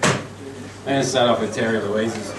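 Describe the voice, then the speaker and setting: An Australian man, a poet, reading his poems and flash fiction aloud at a live event, chatty and halting between pieces, slow and deliberate when reading. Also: lowish voice, steady tone, over a noisy crowd. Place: pub function room. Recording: echoey pub from far off with noise.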